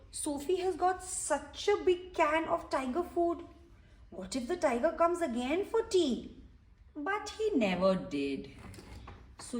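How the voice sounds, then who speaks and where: High, animated woman reading to children, slow pace. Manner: animated, explaining simply, asking the listener questions. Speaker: children's story reader. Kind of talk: storybook read-aloud with explanatory asides to young children. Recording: roomy echo, distant from microphone.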